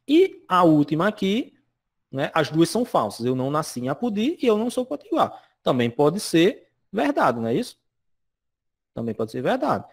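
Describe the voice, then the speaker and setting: Lively, chatty man teaching a lesson. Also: fairly high pitch. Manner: chatty, constantly checks in with "né?" and "certo?". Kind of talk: recorded lesson explaining slides.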